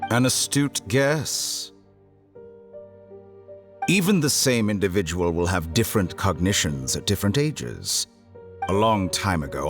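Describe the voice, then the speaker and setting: Smug scholar villain, low voice, animated swoops, deliberate, theatrical, video game, background music. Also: male voice. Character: calm, arrogant male scholar villain, coldly curious. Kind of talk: dramatic video game voice acting.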